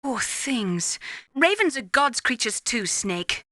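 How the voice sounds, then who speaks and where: A woman's voice: fairly high pitch, very animated, slow pace, bright, forceful tone, no room sound, clean studio sound, heavily acted. A woman voicing a principled, compassionate adviser in a video game, with an earnest way of talking. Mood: reproachful. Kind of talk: dramatic video game radio dialogue.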